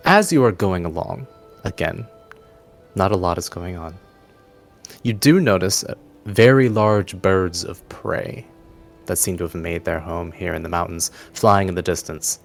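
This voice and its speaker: A man narrating a tabletop roleplaying game as game master, in a halting, pausing way. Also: sits low, vocal fry. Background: soft background music.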